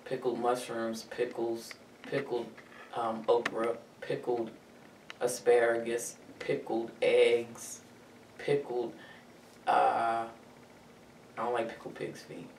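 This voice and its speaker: A woman with a deep voice, talking casually as an interview guest and rambling through lists with fillers. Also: monotone, takes it slowly, pauses often, a little mellow, a little hiss or hum. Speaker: interview guest, actress and model. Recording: echoey room, mic at a distance.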